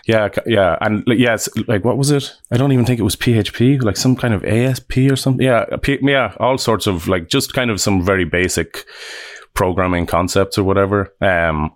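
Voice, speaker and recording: Irish dev guy, really low voice, chatty and rambly, laughs at himself, dry sound. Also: fairly quick, dry recording. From Ireland. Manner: rambling, self-deprecating, frequent restarts and laughs. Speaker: guest, app developer. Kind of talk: casual interview chat.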